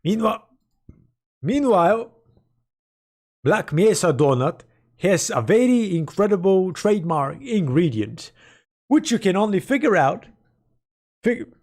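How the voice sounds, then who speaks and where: A man with a sore, hoarse throat rambles playfully and mock-dramatically to his stream chat. His voice is somewhat high and full of gravel. He talks slowly but swings his pitch widely. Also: darkish tone. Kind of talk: casual livestream chat with viewers.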